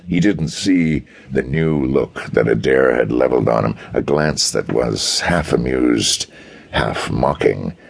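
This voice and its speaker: A man narrates pulp detective fiction in an extremely deep voice. It is husky and full of fry, with the dramatic delivery of an audiobook storyteller. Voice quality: gravelly, husky.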